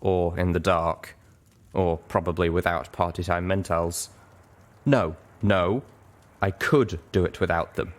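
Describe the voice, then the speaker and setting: A man's voice: deep voice, fairly even intonation, measured pace. A man reading a story aloud in dramatic first-person narration. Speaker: reader of fan fiction.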